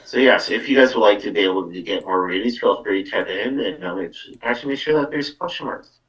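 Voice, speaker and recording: A Canadian man with a very low, forceful voice hosts a casual live show. He makes announcements in a chatty way. The recording is dull and sounds off the microphone. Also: quick pace. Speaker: podcast host and psychic medium. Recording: muffled, distant microphone.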